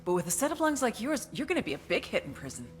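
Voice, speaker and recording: An actress playing a ruthless, sardonic American intelligence agent in a video game cutscene, threatening and profane. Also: female voice, on the high side, very animated, quick pace, a little hiss or hum. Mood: scornful.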